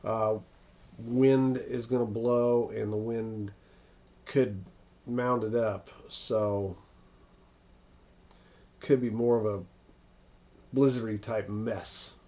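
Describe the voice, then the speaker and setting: An American man hosting a casual, unscripted weather livestream, thinking aloud in a halting way as he answers viewers. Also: fairly low pitch, steady tone, darkish tone. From northern United States.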